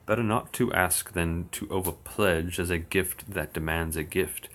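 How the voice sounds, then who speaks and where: A man reciting old verse slowly and steadily on his livestream, with dry asides. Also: low voice, steady tone, a bit bright. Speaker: occult streamer. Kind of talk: reading verse aloud on a livestream.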